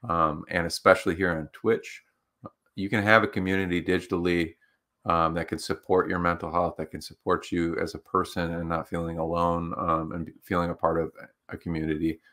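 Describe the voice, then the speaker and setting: A man's voice: low voice, flat delivery, brisk, sharp tone. A man co-hosting a livestream talk show, chatting casually and rambling with lots of fillers. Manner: rambling, filler-heavy, upbeat. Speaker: co-host.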